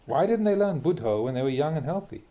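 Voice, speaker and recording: A man, a Buddhist monk, reads a translated spiritual talk aloud in a measured, emphatic way, posing rhetorical questions. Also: on the high side, lively intonation, lo-fi sound. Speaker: Buddhist monk reading a teacher's talks aloud. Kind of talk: reading a translated Dharma talk aloud, with brief asides.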